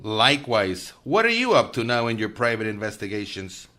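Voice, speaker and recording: A man's voice: lowish voice, very animated, moderate pace, sharp tone. A man playing a blustery, emphatic FBI special agent in a radio mystery.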